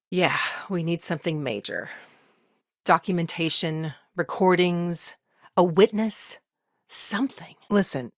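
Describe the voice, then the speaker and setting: A woman playing an earnest, overworked lawyer in a video game, speaking in a measured, matter-of-fact, conversational way with dry wit. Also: on the low side, dry recording. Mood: sincere, measured. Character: earnest, overworked female frontier lawyer, dry-witted and principled. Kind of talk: naturalistic video game dialogue acting.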